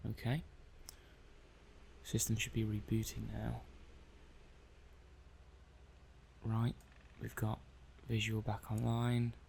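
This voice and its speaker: Guy playing a mild lab tech testing robots, asmr roleplay, lowish flat voice, mumbles hesitantly to himself. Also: unhurried, creaky, a little hiss or hum. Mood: focused, tentative. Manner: hesitant, muttering to himself, matter-of-fact. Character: mild, methodical male robot-testing technician. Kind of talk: soft-paced ASMR roleplay monologue.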